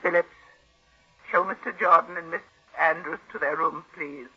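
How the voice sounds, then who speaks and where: An actress playing a weary, commanding elderly aunt in an old-time radio mystery, with a husky voice. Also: female voice, lowish voice, fairly even intonation, normal speed, sharp tone. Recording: muffled old radio recording.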